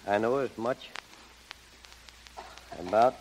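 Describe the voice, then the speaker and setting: A man's voice: on the low side, slow, a little mellow. A man in his seventies speaking plainly as a guest on a noisy vintage 1930s radio broadcast. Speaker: guest, gold prospector.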